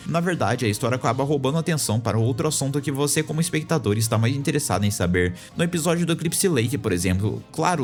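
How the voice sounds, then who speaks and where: A man with a Brazilian accent narrating a casual opinion video essay as a channel host. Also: medium pitch. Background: background music.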